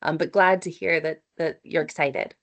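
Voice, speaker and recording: A woman's voice: low voice, steady tone, moderate pace, fairly bright tone. Upbeat woman on a video call. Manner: upbeat, chatty, inviting. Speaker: event organizer presenting. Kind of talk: online meeting presentation. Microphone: computer mic on video call.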